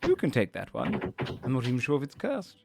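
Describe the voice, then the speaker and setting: A man's voice: medium pitch, wildly swooping pitch, fairly quick, vocal fry, faint music behind. A man doing a genteel teasing dragon. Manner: genteel, playfully condescending.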